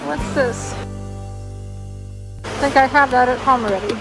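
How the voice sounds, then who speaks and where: A woman's voice: high voice, measured pace, over music. A woman giving a chatty, casual running commentary for a shopping vlog, thinking aloud as she browses. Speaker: vlog host, thrift store reseller. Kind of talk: casual shop-along vlog chat. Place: large indoor warehouse-style thrift store. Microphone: handheld phone filming the shelves.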